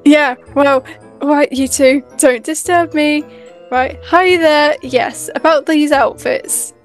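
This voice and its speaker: A woman's voice: high-pitched, fairly even intonation, slow. A woman playing an evasive, busy mother in an improvised roleplay, with a hesitant, placating way of talking. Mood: distracted, placating. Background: music.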